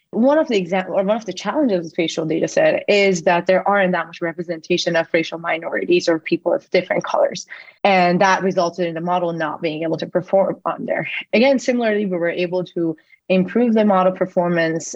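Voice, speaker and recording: A woman's voice: on the low side, no room sound. Iranian-born woman explaining, dull dry audio. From Iran. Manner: explanatory, with frequent fillers and self-corrections. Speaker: podcast guest, company CEO. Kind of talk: conversational interview answers. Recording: dull lo-fi sound.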